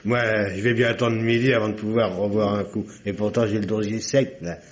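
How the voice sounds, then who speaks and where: A man's voice: lowish voice, little pitch movement, brisk, natural voice. Actor as chatty, folksy doorman in muffled old recording. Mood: grumbling. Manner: rambling, folksy, full of interjections. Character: chatty, folksy male office doorman, deferential yet cheeky. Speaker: doorman and receptionist. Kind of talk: old French radio drama acting. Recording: dull, noisy vintage radio recording.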